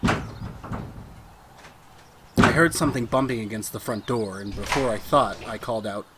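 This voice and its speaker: A man's voice: lowish voice, moderate pace, very noisy, lightly acted. A man playing a centuries-old husband of a witch in a retro radio drama, with wry, reflective storytelling. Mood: reminiscing. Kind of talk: retro 1940s radio drama narration and acting.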